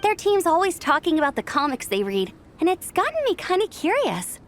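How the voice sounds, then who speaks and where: A woman's voice: extremely high pitch, wildly swooping pitch, brisk, full character voice. A woman playing a perky, friendly young contest staffer in a video game. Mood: friendly, excited. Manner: upbeat, chatty. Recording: faint music behind the voice.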